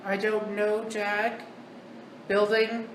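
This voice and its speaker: A woman ghost hunter calling out single halting words she hears, then explaining them casually. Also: mid-range voice, little pitch movement. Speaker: paranormal investigator relaying words she hears. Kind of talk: casual ghost-hunt chatter. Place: old hotel wine cellar, basement. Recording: echoey cellar, distant mic, loud background noise.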